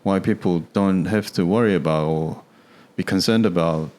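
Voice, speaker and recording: A man speaks as a podcast guest. His voice sits very low, and he answers in short, soft-spoken phrases with plenty of quiet agreement. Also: moderate pace. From Korea. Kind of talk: casual interview chat.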